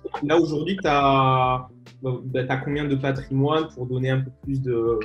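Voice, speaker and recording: A man hosts an interview and asks rambling questions full of hesitations and fillers. His voice is mid-pitched and sounds echoey, as if recorded in a roomy space. Faint music plays in the background. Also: steady tone, a little slow, lo-fi sound. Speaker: host. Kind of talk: interview hosting.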